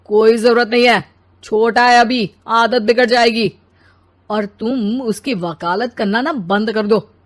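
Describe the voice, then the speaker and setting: A woman voicing a grumpy, demanding husband and father in an animated family story, complaining and dismissive. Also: on the high side, slow pace.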